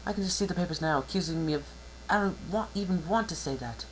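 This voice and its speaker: A man's voice: high-pitched, quick pace, slight background noise, voice pushed up, close to an everyday voice, poor audio. A man voicing a tearful, indignant younger-sister pony princess in a dramatic reading, with a plaintive, wailing manner. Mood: upset, indignant.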